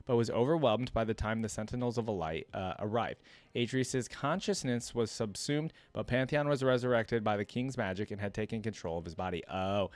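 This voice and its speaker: A man's voice: mid-range voice, no echo. A man giving casual reaction commentary as a host, reading text aloud and adding conversational off-the-cuff remarks.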